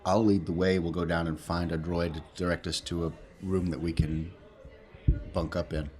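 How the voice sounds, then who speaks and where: A man with a very deep voice plays a tabletop role-playing game. He speaks casually in character, measured and pausing hesitantly between thoughts. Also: level tone, a little mellow.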